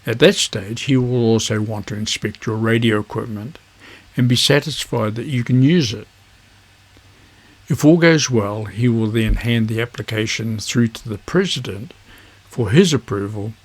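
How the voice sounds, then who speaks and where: Husky low-voiced man, measured delivery. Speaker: amateur radio video host. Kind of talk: instructional vlog narration.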